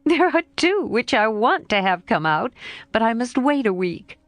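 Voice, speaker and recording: A woman voicing a spirited, frank young woman who scolds and pleads with a friend in a bright, rising voice. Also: on the high side, very animated, moderate pace, no room sound, voice pushed up. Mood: teasing.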